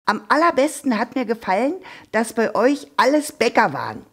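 A woman voicing a cheeky, chatty young female puppet who talks loudly and gushes. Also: a little high, big pitch swings, moderate pace, voice pushed up. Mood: gushing. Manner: loud, gushing, chatty. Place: dark theatre stage.

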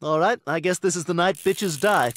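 An actor voicing a snide, precocious baby boy with a pompous, theatrical way of talking in an animated comedy. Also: high voice, moderate pace, dry recording. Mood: smug.

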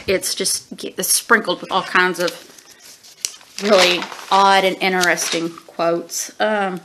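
A woman's voice: mid-range voice, fairly bright tone. A woman in her forties with allergy sniffles, chatting through a casual book review at home with lots of ums, recorded on a webcam at desk distance. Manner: chatty, rambling, frequent ums and throat-clearing. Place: small room at home, indoors.

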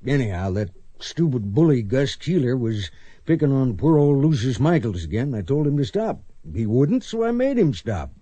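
An actor playing a gentle, plain-spoken widowed farmer father in a radio western, a man with a folksy, hesitant way of talking. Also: mid-range voice, very animated, normal speed, no echo. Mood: gruff, sheepish. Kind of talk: radio western drama acting.

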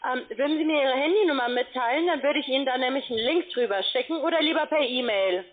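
A woman with a high voice speaks as a call centre agent on a thin telephone line. She explains things to a customer haltingly, getting somewhat flustered as she goes. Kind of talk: phone sales support call. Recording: narrow, tinny phone line.

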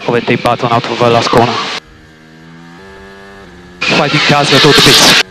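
A man's voice: mid-range voice, steady tone, normal speed. A male race engineer speaking over team radio in terse, clipped instructions, often repeating them. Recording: noisy motorsport team radio, car noise.

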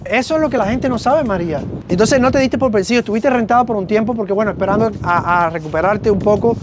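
A man, hosting an on-camera chat outside a house as a real estate agent, speaking in a persuasive salesman style full of questions. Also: high-pitched. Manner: fast, persuasive salesman patter with rhetorical questions. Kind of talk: on-camera interview at a home handover. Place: outdoors in front of a house. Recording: outdoor street noise.

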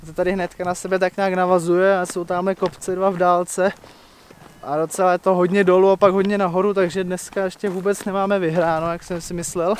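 Young male hiking vlogger, noticeably high voice, informal and slangy, recorded at arm's length outdoors. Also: level tone, slight background noise. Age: young adult. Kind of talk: casual vlog chat. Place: outdoors on mountain and forest trails. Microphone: handheld camera at arm's length.

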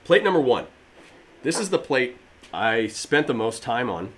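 A man in his thirties with a slightly raspy voice, casually explaining a DIY project to camera in his home garage gym, with plenty of fillers. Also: mid-range voice, sing-song, a little slow, a bit bright. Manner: rambling, filler-heavy, friendly explainer. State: allergies. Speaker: fitness DIY channel host. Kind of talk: casual how-to talk to camera.

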